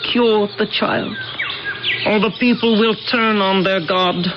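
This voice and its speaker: A woman playing a solemn, unyielding island priestess with a measured, declamatory delivery in a 1980s radio drama. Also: medium pitch, fairly even intonation, natural voice. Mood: stern, insistent. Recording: dull, noisy old radio broadcast.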